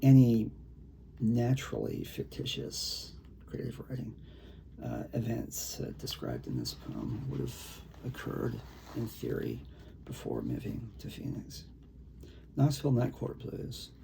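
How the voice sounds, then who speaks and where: A man with a gravelly, creaky voice, a poet talking casually and reading his own work in a halting, conversational way. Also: mid-range voice, sing-song, warm tone, slight background noise, poor audio. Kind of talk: casual talk and poetry reading.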